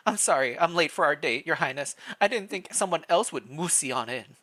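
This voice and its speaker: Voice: mid-range voice, expressive, a little fast, pushed and bright, pitched above the performer's own voice. A reader voicing a haughty, theatrical gothic princess who is easily scandalized, in a live story reading. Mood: flustered, haughty. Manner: theatrical, gasping, indignant.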